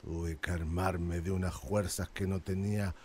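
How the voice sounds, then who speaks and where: Mellow, grieving old man. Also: deep voice, flat delivery, a little slow, slightly rough. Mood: wounded, bitter. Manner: laconic, almost absent telling. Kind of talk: radio drama acting.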